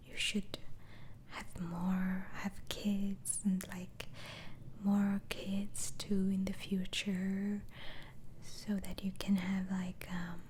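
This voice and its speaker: A woman whispers slowly and breathily, playing a mild, patient doctor in an ASMR roleplay. Her tone is dark and soft, with hesitant questions and small murmured acknowledgements. There is some faint background noise around the voice. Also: mid-range voice. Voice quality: breathy, mostly unvoiced whisper.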